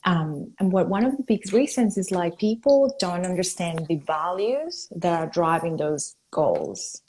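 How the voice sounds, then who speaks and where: Lively woman from Venezuela, mellow voice. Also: lowish voice. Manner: enthusiastic, quick, laughs often. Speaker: guest, company founder. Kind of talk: casual live-stream chat.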